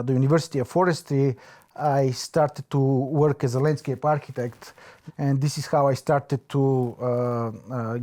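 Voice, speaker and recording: A man with a Bulgarian accent, reflecting in an interview, pausing often to search for his words. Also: medium pitch, warm tone. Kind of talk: reflective oral history interview.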